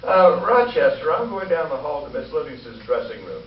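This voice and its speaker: A man's voice: fairly high pitch, sing-song, moderate pace. A man playing a vain, fussy, flustered comedian in an old-time radio comedy on stage, hesitating and stammering as he talks. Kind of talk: 1940s radio comedy acting. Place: live stage show before an audience. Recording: echoey stage recording, distant, noisy, dull.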